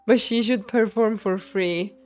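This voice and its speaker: A young woman streams a game with casual live commentary. She has a mid-pitched voice and chats away, thinking out loud and answering the characters back. Faint game music plays behind her. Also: measured pace. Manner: chatty, thinking aloud, talking back to characters.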